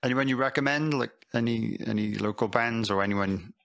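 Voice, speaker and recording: A man, a podcast host firing off quick questions in a brisk, friendly way. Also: medium pitch, creaky, fairly bright tone. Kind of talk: quick-fire interview questions.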